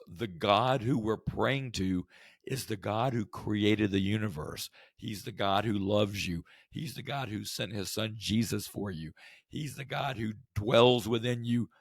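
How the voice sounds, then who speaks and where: A man hosts a devotional podcast in a low, gravelly voice that is hoarse from the flu. He teaches earnestly and conversationally and keeps asking the listener rhetorical questions. The recording is close and dry, with no room sound. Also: dry recording. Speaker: podcast host.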